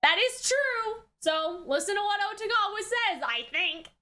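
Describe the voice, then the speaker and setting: Female reaction host, very high and bright voice, unhurried overall, slangy and exclamatory. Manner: animated, exclamatory, slangy, thinks aloud. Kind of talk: casual excited reaction commentary on an anime episode.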